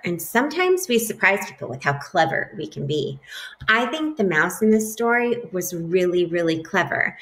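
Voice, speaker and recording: A woman in her thirties reading a picture book aloud to children from her living room, speaking expressively into a laptop webcam. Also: medium pitch. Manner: lively, expressive, addresses kids as friends. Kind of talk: storybook read-aloud for children. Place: home living room, indoors. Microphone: laptop webcam, close.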